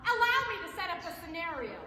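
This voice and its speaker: In an echoey hall a woman plays a gushing saleswoman in a parody infomercial. Her voice is very high and swings widely as she sells with showy, theatrical emphasis. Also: very animated, fast, pushed and bright, full character voice. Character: gushing, over-polished infomercial saleswoman pitching a product. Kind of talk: stage acting, parody sales pitch. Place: live stage in a large reverberant room. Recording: echoey hall stage recording, background hiss.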